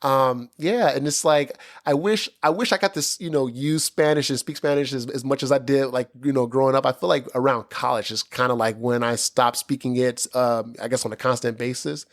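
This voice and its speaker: A man hosts a casual podcast in a mid-range voice. He rambles warmly through his questions, packing them with fillers like "you know" and "like". He laughs often between thoughts. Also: medium pitch, fairly even intonation, brisk, few pauses. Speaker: podcast host. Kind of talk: casual interview chat.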